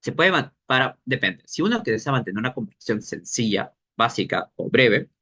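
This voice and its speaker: A man leads an informal language workshop. He talks at a middle pitch and works through each point aloud, stopping now and then to search for the right word. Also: big pitch swings, sharp tone. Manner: explains thinking aloud, pausing to search for words.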